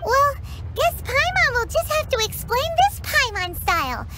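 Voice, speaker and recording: A woman voicing a small, chatty female fairy companion in a game cutscene, bouncy and playful, over loud game music. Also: sing-song, measured pace, exaggerated voice. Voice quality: high, bouncy, refers to self in third person. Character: tiny chirpy female floating fairy companion. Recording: game score loud behind voice.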